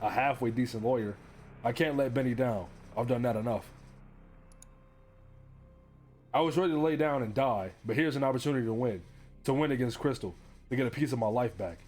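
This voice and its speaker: A man's voice: mid-range voice, quick pace, a little mellow, lightly acted. An actor playing a broke, desperate divorced man who narrates his analog horror story in a resigned, confessional, wry way. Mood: desperate resolve.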